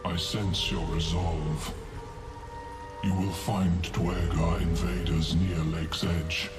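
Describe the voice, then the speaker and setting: Extremely deep, slow fungal monarch. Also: male voice, little pitch movement. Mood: solemn. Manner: slow, terse, ceremonial pronouncements. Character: ancient mushroom sovereign, grieving, vengeful, solemn. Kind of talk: video game voice acting. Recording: loud music behind. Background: loud music.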